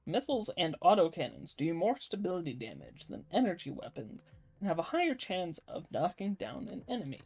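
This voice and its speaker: A man's voice: high voice, very animated, brisk, creaky, a little mellow, breathy edge. A man co-hosting a let's play with wry, joking, casual banter about the game.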